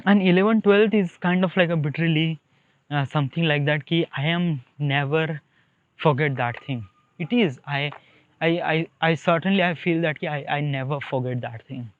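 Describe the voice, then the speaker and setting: Through a thin, phone-line-like recording comes a young man with an Indian accent. His voice sits fairly high for a man. He talks in a casual, wandering monologue, often hesitating and repeating words as he looks for the next one. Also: moderate pace, no echo. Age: young adult. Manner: hesitant, repetitive, searching for words. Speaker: solo podcaster. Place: outdoors beside a highway, walking.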